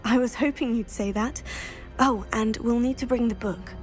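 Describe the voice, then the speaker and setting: A woman's voice: a little high, steady tone, normal speed, faint music behind, natural voice. A young woman playing a polite, earnest witch student in a fantasy game. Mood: eager, recounting. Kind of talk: cinematic fantasy game acting.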